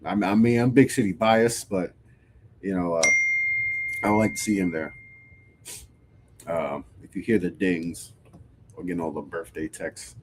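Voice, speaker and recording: A man's voice: low-pitched, a little slow, pauses often, very noisy. A man in his thirties hosting a casual live-stream sports talk show, sounding stuffy from a cold and speaking in a loose, conversational way with frequent restarts. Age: 30s. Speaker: podcast host.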